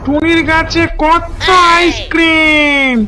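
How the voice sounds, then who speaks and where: Casting: greedy wheedling cartoon figure, female actor, high, bright, slow and exclamatory, faint music. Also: fairly even intonation. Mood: greedy, amazed.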